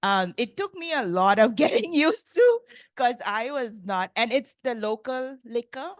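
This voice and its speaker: A woman's voice: fairly high pitch, big pitch swings, unhurried, no room sound. A woman from India giving a casual conference lecture, chatty and full of fillers like "like" and "you know". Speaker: professor lecturing.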